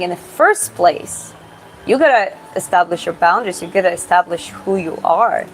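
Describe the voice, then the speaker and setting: A woman's voice: on the low side, slight background noise, rough recording. A young woman from Georgia with a Georgian accent, answering viewer questions in a casual, hesitant way and often restarting her words. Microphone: phone or camera close up.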